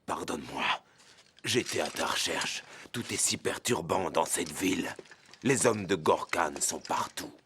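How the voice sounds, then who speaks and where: An earnest lynx warrior from an animated film. The voice sits very low and has a tense, forceful edge. The delivery is formal and grave, with a pleading honesty, over faint background noise. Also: male voice, measured pace, exaggerated voice. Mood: solemn, pleading. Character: solemn lynx warrior turned humanoid, earnest and proud.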